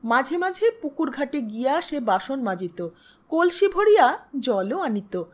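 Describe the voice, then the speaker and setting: A woman's voice: sits high, big pitch swings, measured pace. A woman narrating a literary story expressively, as in an audiobook.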